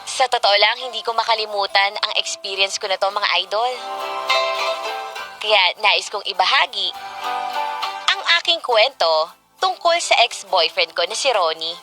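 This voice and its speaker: A woman's voice: mid-range voice, animated, normal speed, long pauses, over loud music, natural voice. A woman playing an inexperienced, exasperated young girlfriend who confides dramatically in a radio-style drama. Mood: confiding. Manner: dramatic, gossipy, exclamatory. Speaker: drama narrator and lead actress.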